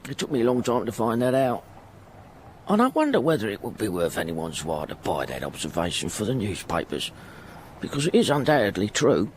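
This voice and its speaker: A man's voice: lowish voice, huge pitch swings, a little fast, a little hiss or hum. An actor playing a poor, humble middle-aged porter and devoted father in a radio drama, rambling in folksy working-class speech. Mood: glum musing. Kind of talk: radio drama acting, first-person monologue.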